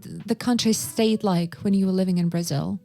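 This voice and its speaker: A woman's voice: mid-range voice, steady tone, moderate pace, dark tone, soft background music. Curious, chatty woman from Russia. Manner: curious, chatty, reacting with questions. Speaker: co-host. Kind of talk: casual chat.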